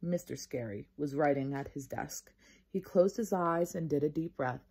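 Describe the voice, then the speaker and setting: A woman reading a children's storybook aloud like a teacher, casual, expressive and encouraging toward young listeners. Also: sits low, moderate pace, husky.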